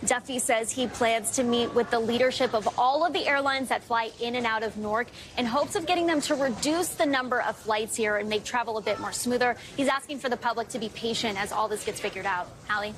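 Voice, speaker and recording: Higher-pitched American woman reporting live. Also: a little fast, hardly any pauses, a bit bright. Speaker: news reporter. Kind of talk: live on-location news report. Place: on location at an airport.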